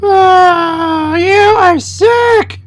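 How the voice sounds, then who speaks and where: A woman's voice: very high-pitched, drawn-out words, full character voice. A woman voicing an indignant, boastful twelve-year-old schoolboy in a comedy puppet show. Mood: exasperated. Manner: excitable, self-justifying.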